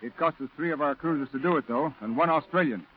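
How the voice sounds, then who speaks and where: An actor playing a brisk, matter-of-fact Marine officer in command in a 1940s radio drama. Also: male voice, fairly high pitch, natural voice. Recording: thin phone-like old 1940s radio recording.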